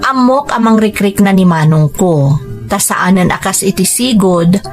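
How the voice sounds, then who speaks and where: A woman acts out a hardworking, devoted sister telling her story in an Ilocano audio drama. She sounds earnest and reflective at a medium pitch, with faint music behind. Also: animated, measured pace, close to an everyday voice. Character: dutiful, hardworking overseas worker, devoted younger sister. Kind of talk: first-person audio drama narration and dialogue.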